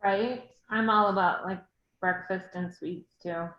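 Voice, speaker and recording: A woman's voice: mid-range voice, steady tone, a little slow, roomy sound, dull audio. A woman author chatting casually in an interview, giving brief, friendly replies.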